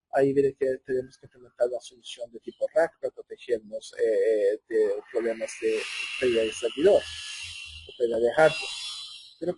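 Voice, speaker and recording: A man's voice: medium pitch, a little mellow. A middle-aged man from Chile, whose first language is Portuguese, speaking Spanish with a Portuguese-tinged accent and giving a technical webinar in a conversational way, often restarting his words. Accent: Brazilian Portuguese-tinged Spanish. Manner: conversational, many restarts and self-corrections. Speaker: webinar presenter. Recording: muffled, hoarse-sounding office audio.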